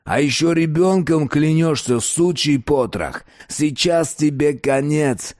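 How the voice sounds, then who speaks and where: A man's voice: mid-range voice, animated, takes it slowly, voice pushed up. A man voicing a vicious male gangster in an audiobook, snarling threats and barking short, menacing orders. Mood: furious threat. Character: vicious, menacing male criminal enforcer called Satan.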